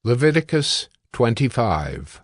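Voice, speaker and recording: Slow, dry-voiced male narrator. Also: mid-range voice, big pitch swings, no room sound. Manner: slow and deliberate. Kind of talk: scripted narration. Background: faint music.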